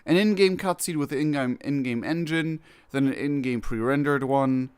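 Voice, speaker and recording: A man's voice: medium pitch, normal speed, clean tone. A man giving casual live reaction commentary on a game, rambling and often rewording himself. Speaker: streamer reacting to game cutscenes.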